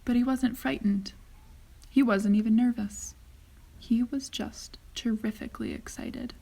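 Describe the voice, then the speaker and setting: Expressive woman reading a story, fairly high, creaky. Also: measured pace. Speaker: teacher reading aloud. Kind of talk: children's story narration.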